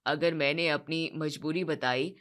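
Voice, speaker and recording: A woman voicing a worried, indebted older father of the bride who speaks wearily and quietly. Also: low voice, fairly even intonation, a little slow, voice pushed down. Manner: weary, troubled, subdued.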